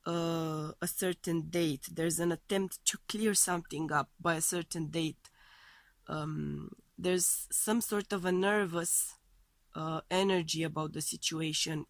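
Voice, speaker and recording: Slow, low-voiced woman with a cold. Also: no room sound. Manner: tentative, searching phrasing as she reads cards. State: voice thrown off by a heavy cold. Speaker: tarot card reader. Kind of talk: weekly tarot love reading.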